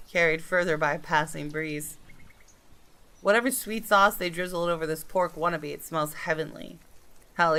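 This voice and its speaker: A woman narrates a visual novel in measured, dramatic phrases. Her voice sits a little low for a woman, with a crisp, very bright and forceful edge. The reading is expressive, like storytelling for a gaming video. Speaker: gaming video creator reading a game aloud.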